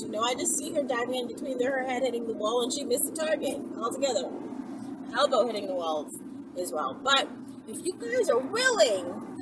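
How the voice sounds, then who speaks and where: Loud road and car noise surrounds a woman in her thirties, recorded a little away from the mic. Her voice is high and swings widely in pitch as she chats casually to her viewers. She is emphatic, often repeating words to press her point. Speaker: vlogger, channel host. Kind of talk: casual chat to camera. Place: inside a moving car with windows down. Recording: very noisy car ride, distant mic.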